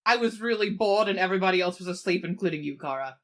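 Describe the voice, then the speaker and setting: A woman's voice: on the low side, animated, fast. A woman playing a tabletop game, casually describing and voicing her character. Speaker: player in a tabletop game podcast.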